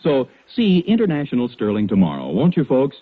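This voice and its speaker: Husky 1940s radio announcer man. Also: mid-range voice, huge pitch swings, brisk. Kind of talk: 1940s radio advertising pitch. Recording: tinny, lo-fi 1940s radio recording.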